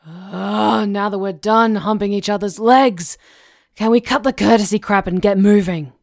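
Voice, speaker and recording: A woman's voice: mid-range voice, moderate pace, vocal fry, no room sound, close to an everyday voice. Fierce female pack leader for fantasy roleplay, played by a woman: crude, bossy, impatient, recorded dry. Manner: blunt, crude, commanding. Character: brash female werewolf pack chief, blunt, fierce, impatient. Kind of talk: ASMR roleplay acting.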